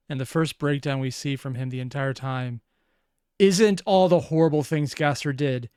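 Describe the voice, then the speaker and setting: A woman gives reaction commentary in a smooth, clear voice. She muses analytically and puts questions to herself, recorded close and dry. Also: a bass voice, unhurried, long pauses, dark tone, no room sound. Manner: musing, questioning, sighing between remarks. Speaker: reaction video host.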